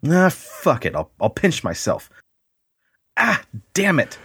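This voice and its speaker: A man voicing a bewildered, agitated alien abductee in a theatrical, questioning reading, with a husky voice. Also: a little high, huge pitch swings, slow pace, fairly bright tone, no echo, clean studio sound, heavily acted. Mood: agitated, baffled.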